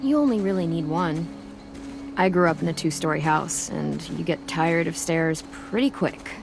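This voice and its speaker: A woman playing a caring, steady teenage girl survivor in a video game, speaking with an American accent in a reassuring, dryly teasing way. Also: low-pitched, moderate pace, gravelly, noisy background. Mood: playful, dry. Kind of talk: video game voice acting, casual dialogue. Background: background music.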